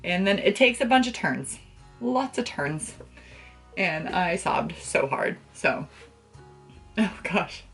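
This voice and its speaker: Low-pitched young woman, expressive book vlogger. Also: slow pace, creaky, pauses often, slightly rough, soft background music. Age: young adult. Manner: expressive, gushing, emphatic. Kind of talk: casual chat to camera. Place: small bedroom, indoors.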